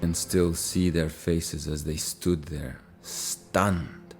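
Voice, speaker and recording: An actor playing a disillusioned, haunted Soviet soldier in a game cutscene, brooding and accusing, with a Russian accent. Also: male voice, low-pitched, steady tone, extremely slow, loud music behind the voice. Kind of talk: dramatic video game cutscene dialogue.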